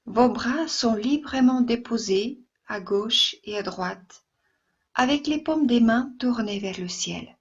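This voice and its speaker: A woman yoga instructor leading a guided relaxation meditation in a soft, soothing, gentle way, with long pauses between phrases. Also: fairly high pitch, husky, highs cut off.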